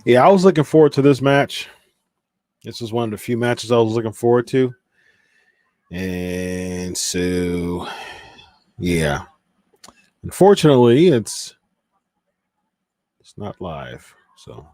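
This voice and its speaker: A man's voice: fairly low pitch, lively intonation, takes it slowly, long pauses, a little mellow, dry recording, studio quality. Chatty Midwestern man hosting a stream. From Ohio, USA. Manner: reads viewer comments aloud, chatty with fillers. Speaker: watch party host. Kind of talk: casual live-stream chat.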